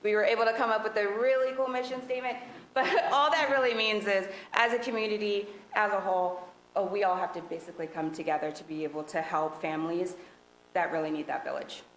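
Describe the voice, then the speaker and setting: A woman presenting to a city council work session, speaking loudly in a relaxed, conversational way with many fillers. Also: mid-range voice, fast talker. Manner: loud voice. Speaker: task force presenter. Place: council meeting room. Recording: echoey meeting room with faint noise.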